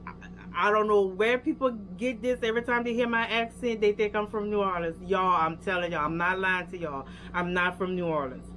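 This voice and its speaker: A woman's voice: mid-range voice, a little fast, a bit bright. Young woman w a louisiana accent rambling thru hair product reviews, lots of ums, faint hum behind her. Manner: chatty, rambling, talks straight to viewers with lots of fillers. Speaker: hair care vlogger. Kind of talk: casual product review talk.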